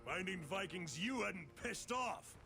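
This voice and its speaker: High-pitched, gravelly, scornful Viking man. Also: expressive, unhurried, over music, heavily acted. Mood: wry. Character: dry, scornful Viking warrior man, battle-ready comrade. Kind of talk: dramatic game cutscene acting.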